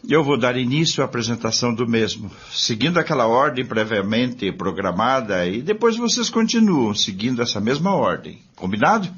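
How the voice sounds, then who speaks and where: A man with a Brazilian accent playing an earnest, courteous spiritist group leader in a radio drama, formal and deliberate. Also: medium pitch, big pitch swings, a bit bright, slightly rough. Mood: earnest, organizing. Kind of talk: amateur radio drama acting. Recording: dull lo-fi, music behind.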